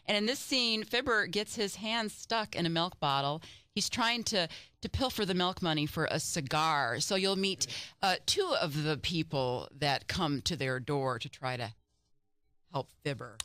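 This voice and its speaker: A woman's voice: sits low, lively intonation, a little slow, sharp tone. A woman organizing a museum event, eagerly promoting it in a radio interview in a studio.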